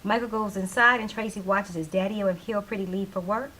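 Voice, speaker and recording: A woman's voice: mid-range voice, fairly even intonation, a little fast, bright, forceful tone, rough recording. A woman with a mid-range voice narrates stage directions from a script. Faint hiss sits behind her in the recording.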